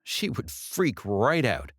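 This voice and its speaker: A man's voice: medium pitch, huge pitch swings, slow pace, fairly bright tone, dry recording, clean studio sound, voice pushed up, exaggerated voice. A man voicing a wry, defiant woman under threat in an audiobook, plain-spoken and guarded.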